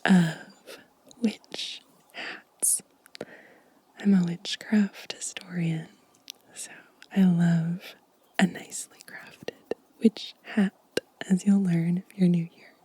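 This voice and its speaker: A woman softly whispering as an ASMR host, gentle and unhurried, with a breathy voice close to the microphone. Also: on the low side, no room sound. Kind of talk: soft-spoken whispered ASMR show and tell.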